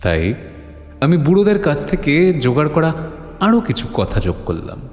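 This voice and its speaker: A man acting as the grave narrator of a horror audio story, recounting eerie legends with deliberate pauses. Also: on the high side, wildly swooping pitch, darkish tone, soft background music. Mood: ominous. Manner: slow, deliberate, grave, pausing often.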